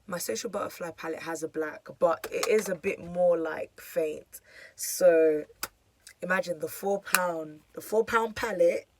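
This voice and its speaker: A young woman with a hoarse, croaky voice, chatting casually through a makeup tutorial with lots of fillers. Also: lowish voice, measured pace, noisy background. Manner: chatty, filler-heavy, self-deprecating. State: hoarse, losing her voice. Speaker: beauty vlogger.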